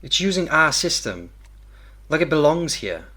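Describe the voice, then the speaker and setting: A man's voice: on the high side, very animated, measured pace, sharp tone, exaggerated voice. A man playing an alarmed, protective broadcast technician in an audio drama, intense and emphatic. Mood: alarmed, firm.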